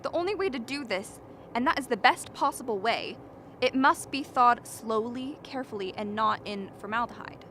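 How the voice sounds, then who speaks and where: A rational lady scientist in a radio drama , acted by a woman, arguing in a fairly high voice. She lectures precisely and dismisses others dryly. There is faint noise in the background. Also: lively intonation, moderate pace, fairly bright tone. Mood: reasoning. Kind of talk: dramatic radio play acting.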